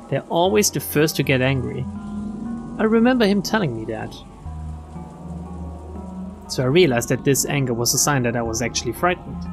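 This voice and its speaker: Woman reading a visual novel over music. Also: very deep voice. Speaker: streamer reading game text aloud. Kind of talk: expressive visual novel read-aloud. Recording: game music behind the voice.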